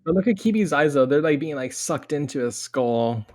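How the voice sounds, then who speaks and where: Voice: mid-range voice, animated, moderate pace, darkish tone. A podcast panelist talking casually in a group discussion. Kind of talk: casual chat.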